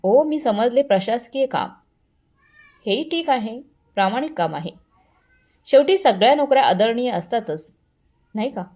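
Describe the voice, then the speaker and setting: A woman's voice: on the high side, animated, moderate pace, pauses often, mellow, pitched above the performer's own voice. A woman voicing a boastful, condescending wealthy mother-in-law with showy, patronizing politeness, in a family story.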